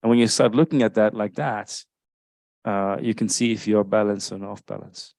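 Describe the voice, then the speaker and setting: A man's voice: on the low side, fairly even intonation, normal speed, vocal fry, a little mellow, no room sound. A man, an author, answering audience questions at a live book launch, explaining thoughtfully and often checking in with "right?". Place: live event space with audience.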